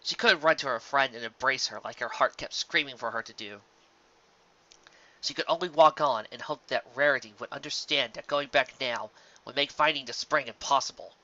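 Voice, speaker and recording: A dull, lo-fi recording of a man narrating a story. His voice sits at a middle pitch with a bright, forceful edge, and he reads fan fiction expressively. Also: normal speed. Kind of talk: expressive story narration with occasional casual asides.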